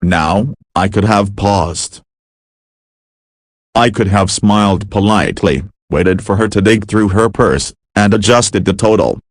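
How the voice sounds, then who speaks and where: Male story narrator, lowish register, deliberate scripted delivery, very dry close sound. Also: dry recording.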